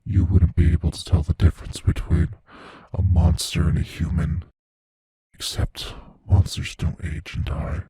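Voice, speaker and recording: A man voicing an ancient immortal monster in a horror ASMR roleplay, hushed and breathy, slow and menacing, world-weary yet savoring fear. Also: no echo, full character voice. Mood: confiding, brooding. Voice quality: hushed, husky, breathy low voice. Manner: slow, menacing, philosophical, intimately taunting. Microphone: close studio mic, dry.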